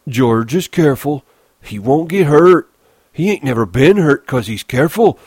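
A man voicing a big, slow-witted, childlike man in an audiobook, trusting and anxious, speaking in simple, stubbornly repetitive rural phrases. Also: fairly high pitch, expressive, a little mellow, slightly rough, no room sound, voice pushed up.

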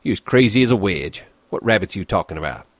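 A man voicing a bitter, lonely older ranch hand who talks gruffly in rough rural dialect. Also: fairly low pitch, expressive, quick pace, no echo. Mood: grudging.

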